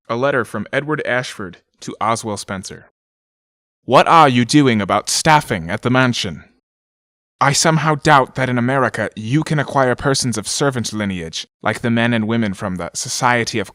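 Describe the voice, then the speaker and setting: A man's voice: mid-range voice, a bit bright, dry recording, clean studio sound. A man narrating an audio drama, reading letters and documents in a scripted style.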